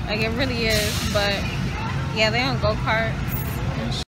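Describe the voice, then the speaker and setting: A young woman vlogging casually on her phone at a night go-kart track, chatty, slangy and quick to laugh. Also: on the high side, fairly even intonation, loud music behind the voice, poor audio. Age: young adult. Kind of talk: casual vlog chat. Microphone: phone held at arm's length. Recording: loud crowd and engine noise outdoors.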